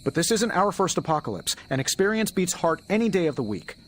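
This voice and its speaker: A man's voice: high voice, very animated, fast, a little hiss or hum. A man acting a cocky, wisecracking superhero team leader in a video game, with a glib, sarcastic, self-assured manner. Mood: insistent, cocky.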